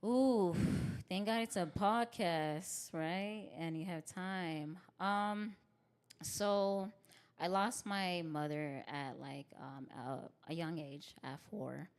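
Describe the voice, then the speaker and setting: A young woman stand-up comic from Tucson speaks in a low, deep voice with real bass in it. Her tone is smooth and resonant, and she talks openly with lots of "like" and "you know what I mean", laughing easily as she tells her story. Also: takes it slowly. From Tucson, Arizona. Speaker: stand-up comedian, podcast guest. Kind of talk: casual interview conversation.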